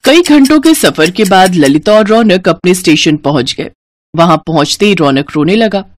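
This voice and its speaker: Animated woman storyteller. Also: on the low side, moderate pace, no echo, studio quality. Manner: expressive storytelling. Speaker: narrator. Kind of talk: scripted moral story narration.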